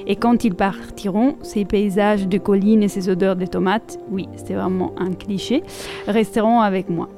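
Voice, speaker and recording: A woman from Italy with an Italian accent, a podcast columnist reading a passage aloud and reflecting on it in a measured way. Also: medium pitch, steady tone, over music.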